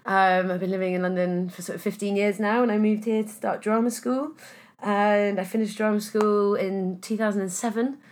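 A woman in her thirties from Wales, an actress chatting casually in an interview, her voice a bit husky and hoarse today, with many fillers and restarts. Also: mid-range voice, flat delivery, normal speed, a little mellow. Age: 30s.

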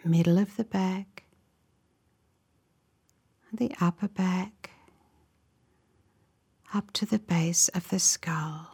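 Breathy, slow-paced woman guiding relaxation. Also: fairly low pitch, fairly even intonation. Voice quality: soft, breathy, often near a whisper. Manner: soothing, gentle, measured delivery with short phrases and pauses. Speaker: guided meditation instructor. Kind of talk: guided relaxation meditation, calm step-by-step instructions.

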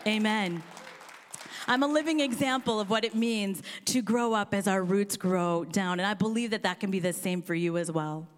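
A woman pastor, born in India and raised in Kansas, with a Midwestern American accent, preaching a sermon with impassioned swells that rise almost to shouting. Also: mid-range voice, normal speed, some chatter behind. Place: church auditorium with live congregation.

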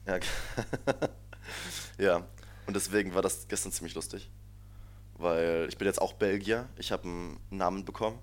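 A young man with a fairly low voice tells stories in a loose, rambling way, often breaking into laughter. His talk is casual and full of slang. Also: moderate pace, long pauses. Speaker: podcast co-host. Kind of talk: casual chat. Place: shared flat abroad.

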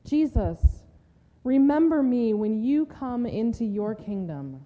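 A woman's voice: fairly high pitch, animated, slow, mellow. A woman playing a repentant condemned criminal, earnest and pleading, in a dramatic scripture reading. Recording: reverberant hall, faint noise.

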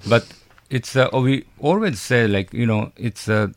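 A man with a Japanese accent, a chef speaking as a radio interview guest in a halting way that searches for words. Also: mid-range voice, lively intonation. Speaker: chef and restaurant owner.

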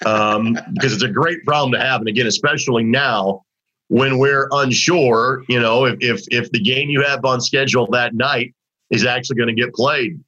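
A man hosting a high school basketball talk segment, his voice a bit raspy from overuse, talking conversationally with frequent restarts. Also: fairly even intonation, normal speed, sharp tone. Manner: conversational, rambling with restarts and fillers. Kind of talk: casual sports talk. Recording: dull, lo-fi audio.

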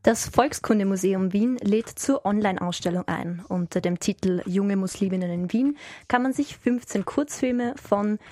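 A woman co-hosting a community radio show, reading announcements in an upbeat, smooth way. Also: mid-range voice, dark tone, no echo, studio quality. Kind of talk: scripted radio presenting.

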